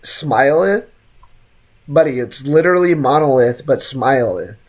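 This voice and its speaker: A woman's voice: very deep voice, expressive, a little slow. A woman doing casual, slangy live gameplay commentary, teasing and reactive, with a slightly sick throat. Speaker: game streamer.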